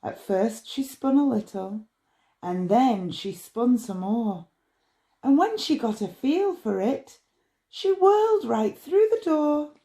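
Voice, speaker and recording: A woman reading a rhyming bedtime story in a measured, expressive way. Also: fairly high pitch. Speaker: storybook reader.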